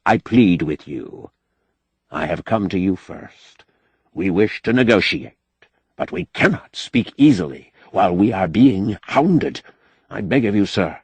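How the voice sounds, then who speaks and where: Man acting a pompous, indignant doctor, slow-spoken. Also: fairly low pitch, sing-song, slightly rough, no echo, full character voice. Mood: pleading, insistent. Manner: pompous, ornate, forceful oratory. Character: wily, indignant, eloquent male doctor, master of his house. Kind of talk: audiobook character acting.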